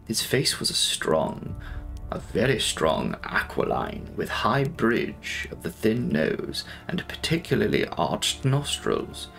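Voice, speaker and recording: A young man narrating a classic novel in a theatrical, deliberate audiobook style. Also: fairly low pitch, wildly swooping pitch, vocal fry, a bit bright. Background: faint music.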